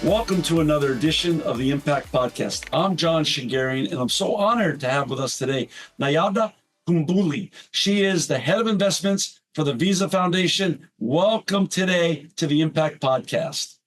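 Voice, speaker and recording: A man's voice: fairly high pitch, expressive, normal speed, a bit bright. A man hosting an interview podcast, effusive and enthusiastic as he welcomes his guest and asks questions. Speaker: podcast host.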